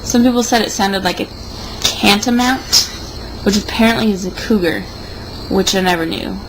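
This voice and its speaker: A woman's voice: medium pitch, moderate pace. A young woman in a casual, rambling monologue, sounding tipsy and sardonic. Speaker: vlogger. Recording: noticeable background noise.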